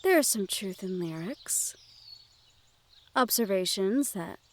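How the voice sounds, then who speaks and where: A woman playing a gentle, well-bred young noblewoman in a fantasy audio roleplay, speaking in polite, measured phrases directly to the listener. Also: medium pitch, animated, slight background noise. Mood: serene, thoughtful.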